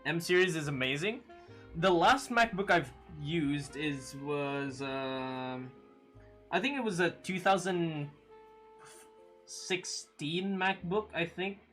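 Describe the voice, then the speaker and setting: Young male streamer, slightly high voice, relaxed chatty delivery, soft guitar music underneath. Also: takes it slowly. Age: 20s. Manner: relaxed, chatty, laughs often, many fillers. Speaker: livestream host. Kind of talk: casual chat reading viewer comments.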